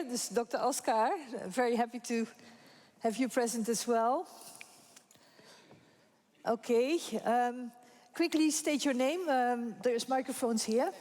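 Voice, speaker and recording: A woman's voice: on the high side, a little mellow. A woman moderating a conference panel, chatty and informal, laughing easily. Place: conference room with audience. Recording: reverberant conference hall.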